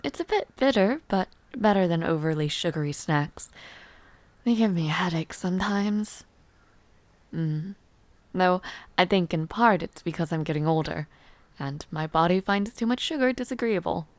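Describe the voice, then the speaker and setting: A woman playing a refined, teasing young palace noblewoman in an intimate audio roleplay, speaking in a poised, formal, softly playful way. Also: lowish voice, animated, moderate pace, no echo, lightly acted. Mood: relaxed, musing. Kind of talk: intimate audio roleplay acting, chatting to the listener.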